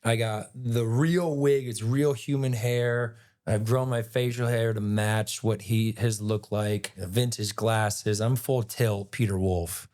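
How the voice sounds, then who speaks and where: Raspy man telling a story. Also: on the low side, slow. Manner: animated, rambling retelling with many "like"s. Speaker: actor guest. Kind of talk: casual storytelling interview.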